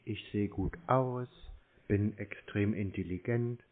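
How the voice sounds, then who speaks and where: A man bantering casually on a phone call in a mocking, deadpan way. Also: lowish voice, takes it slowly. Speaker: host of a scam-baiting show, prank caller. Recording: narrow tinny phone-line sound.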